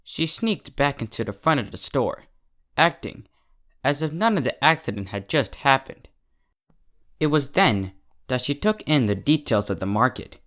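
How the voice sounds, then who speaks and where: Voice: mid-range voice, big pitch swings, normal speed, a bit bright. A storyteller reading scripted fiction aloud as its narrator. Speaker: fan fiction reader, narrator.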